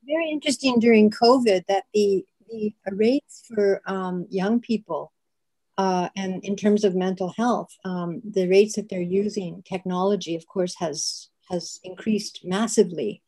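Older woman, warm mellow voice, searching restarts, webcam from home office. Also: mid-range voice, unhurried. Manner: thoughtful, searching, many restarts. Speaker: artist and psychiatrist guest. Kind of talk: panel discussion on video call. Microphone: video call webcam.

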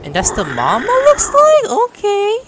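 A woman streaming a video game with casual commentary, playful and excitable, given to exclaiming. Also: very high voice, measured pace, very noisy. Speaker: gaming streamer. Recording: loud game music behind. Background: video game music and sounds.

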